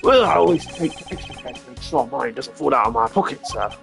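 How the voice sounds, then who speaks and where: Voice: medium pitch, animated, fairly quick, creaky, fairly bright tone. A streamer voicing an eager, loyal male police detective who talks chattily and earnestly, adding "sir", over game music.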